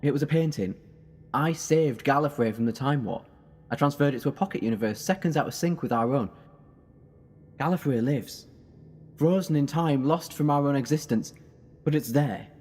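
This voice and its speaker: A man's voice: on the high side, normal speed, long pauses, darkish tone, husky. A man acting in an audio drama as an intense, protective, heroic time-travelling alien. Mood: earnest. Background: music.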